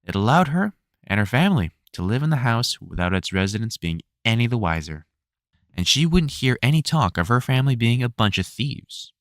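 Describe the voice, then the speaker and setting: Male narrator for illustrated story reading; lively, swinging intonation, measured delivery, dry recording. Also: mid-range voice, no echo.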